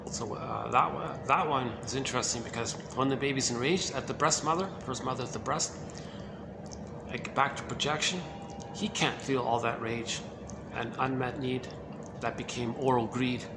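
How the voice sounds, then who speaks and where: A man with a low voice giving an informal, rambling talk on psychoanalysis, often saying "okay" and "uh" as he goes. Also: steady tone, normal speed, creaky, noisy background. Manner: rambling, lots of "okay" and "uh" fillers. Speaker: lecturer on psychoanalysis. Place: room in a shared building.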